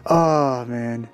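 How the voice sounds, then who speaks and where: A man giving casual commentary while playing a game, muttering hesitant asides. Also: a little high. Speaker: gamer recording a playthrough. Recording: game music faintly behind.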